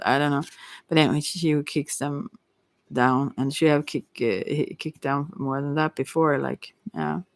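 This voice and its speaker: A tarot reader who speaks English as a second language, rambling through an unscripted ASMR reading in halting phrases that often trail off. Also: medium pitch, no room sound. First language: not English.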